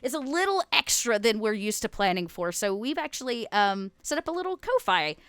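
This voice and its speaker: High, clear-voiced woman, chatty and playful. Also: big pitch swings, a little fast, a bit bright, no echo. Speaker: cast member making announcements. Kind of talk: casual live stream announcement.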